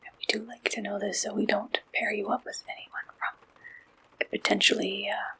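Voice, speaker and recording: A woman whispering an ASMR roleplay as an attentive, businesslike dating service agent, asking questions softly and deliberately in a breathy voice. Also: medium pitch, little pitch movement, exaggerated voice. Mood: gentle, attentive.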